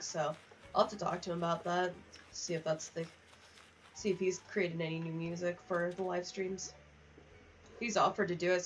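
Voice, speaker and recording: A woman's voice: lowish voice, slight background noise. A young woman chatting casually on a gaming livestream, rambling from one aside to the next. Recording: distant, slightly muffled mic.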